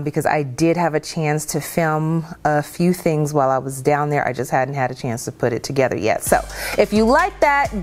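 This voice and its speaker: A woman in her thirties with a low, full voice chats about her outfit in a casual fashion vlog. She talks to her viewers in an animated, friendly way and calls them y'all. She is filmed facing the camera in her home kitchen. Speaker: fashion vlogger.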